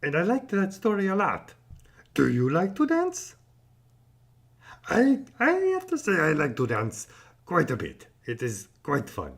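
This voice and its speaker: Male kids' show host, raspy and creaky, somewhat high pitch, upbeat chat. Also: huge pitch swings, unhurried. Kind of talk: upbeat chat addressed to young viewers.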